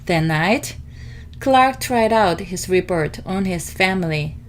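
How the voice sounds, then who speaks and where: A woman narrates a children's story as a read-aloud. Her delivery is slow and expressive, with pitch that moves up and down a lot. There is faint noise in the background. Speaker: storybook reader.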